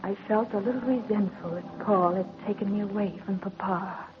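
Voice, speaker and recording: An actress playing an anxious, devoted young married daughter in an old-time radio drama, earnest and theatrically emotional. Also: female voice, medium pitch, moderate pace, over music, natural voice. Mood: sorrowful narration. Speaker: narrator and lead character. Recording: lo-fi noisy old radio recording.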